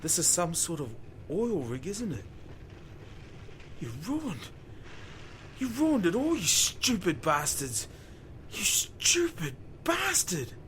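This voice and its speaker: A man's voice: sits high, huge pitch swings, slow, pauses often, a little hiss or hum, full character voice. A man playing a time-travelling fisherman who tells his story in the first person, theatrical and anguished, in an audio drama. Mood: anguished, furious. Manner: theatrical, swings from breathy murmur to anguished shouting.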